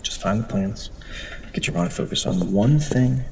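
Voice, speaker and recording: A man's voice: on the low side, animated, moderate pace, gravelly, in a large hall, heavy background noise. A man playing a rattled, stammering architect. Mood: anxious. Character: anxious, sleepless big-city architect. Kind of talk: audio drama acting.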